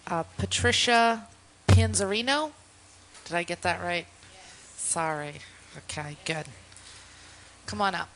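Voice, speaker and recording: A woman with a slightly low voice moves a public meeting along in a brisk, procedural way. Faint noise sits behind her in the room. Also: a bit bright, poor audio. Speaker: board member. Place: town board meeting room.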